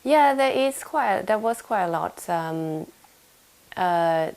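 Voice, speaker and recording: A woman's voice: mid-range voice, lively intonation, slow, darkish tone. A young woman from Thailand with a Thai accent, answering interview questions with frequent fillers and restarts. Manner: hesitant, many fillers and restarts. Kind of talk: casual oral history interview. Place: home room in London.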